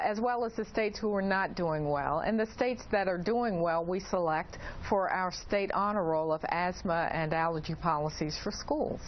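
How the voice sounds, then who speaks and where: A woman speaking as an expert guest in an interview, explaining in a hesitant way with frequent pauses. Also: mid-range voice. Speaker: expert guest, principal investigator. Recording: dull lo-fi audio, clearly noisy.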